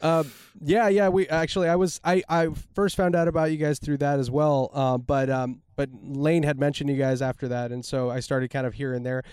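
A man's voice: a little high, level tone, normal speed, hardly any pauses, a little mellow, smooth, dry recording, studio quality. A man co-hosting a casual podcast chat, talking in a chatty, rambling, self-deprecating way.

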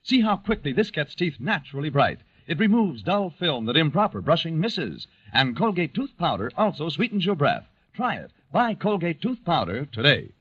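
A man's voice: a little high, big pitch swings, moderate pace, few pauses, slightly rough. A man reading a scripted 1940s radio advertisement as announcer, in an instructive selling manner. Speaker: commercial announcer. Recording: dull, lo-fi old radio recording.

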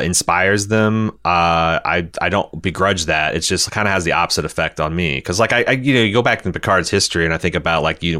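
A man co-hosting a podcast, talking casually and critically about a show in a halting way, often repeating words. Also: low-pitched, sharp tone. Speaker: podcast co-host.

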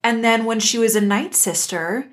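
A woman's voice: on the high side, normal speed. A woman co-hosting a conversational discussion show, speaking in a thoughtful, articulate and reflective way. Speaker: podcast co-host. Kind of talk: conversational discussion of an animated series.

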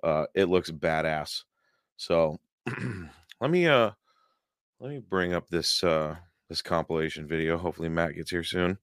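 A hoarse man hosting a paranormal podcast livestream, chatting casually and rambling with many fillers and chuckles. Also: low voice, measured pace, fairly bright tone, no echo, clean studio sound. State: hoarse, losing his voice.

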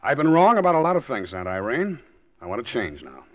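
Falsely cheerful scheming husband voiced by a man, lively, old lo-fi radio play. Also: mid-range voice, a little fast, close to an everyday voice. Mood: breezy. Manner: breezy, falsely agreeable. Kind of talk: 1940s radio acting. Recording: dull old radio recording.

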